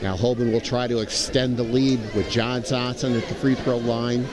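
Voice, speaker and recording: Man, American accent, mid-pitched, measured basketball analysis, clearly audible crowd and gym noise. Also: little pitch movement. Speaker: color commentator. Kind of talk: live basketball analysis commentary. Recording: noisy gym with crowd behind.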